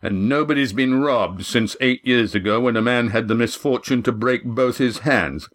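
Man voicing a gruff, rumbling burly innkeeper. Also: normal speed, fairly bright tone, lightly acted. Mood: genial, warning. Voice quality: deep, rumbling, growling bass. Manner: folksy, wry, plain-spoken warnings. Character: gruff, burly, short innkeeper, genial but menacing.

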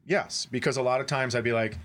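A man's voice: medium pitch, fairly even intonation, a little fast, a bit bright. American man telling stories casually. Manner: profane, wry, acts out dialogue. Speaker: podcast co-host. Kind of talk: casual comedic storytelling.

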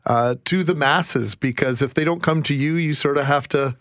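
A man's voice: fairly high pitch, normal speed, fairly bright tone, dry recording, studio quality. A man co-hosting a radio show, speaking in long, somewhat formal but conversational sentences. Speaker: radio co-host.

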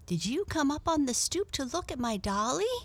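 A woman's voice: high voice, big pitch swings, moderate pace, voice pushed up. A woman voicing a small, eager girl who coos fondly at a cat. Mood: affectionate. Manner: cooing and affectionate. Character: sweet, eager little girl.

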